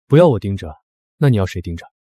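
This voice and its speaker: A man's voice: low voice, big pitch swings, fast talker, dark tone, dry recording, studio quality. A man playing a doting, teasing, protective young suitor in a short drama. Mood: teasing, tender.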